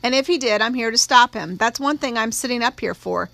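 A woman voicing a gruff old male judge, firm and paternal, in audiobook dialogue. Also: fairly high pitch, fairly quick, pushed and bright, dry recording. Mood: gruffly reassuring. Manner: gruff, paternal, plain-spoken.

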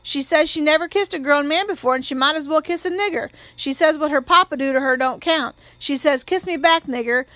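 A woman voicing a frightened, polite young working man on trial in an audiobook, deferential, halting and earnest. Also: high voice, a bit bright, no echo, pitched above the performer's own voice. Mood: anxious, earnest.

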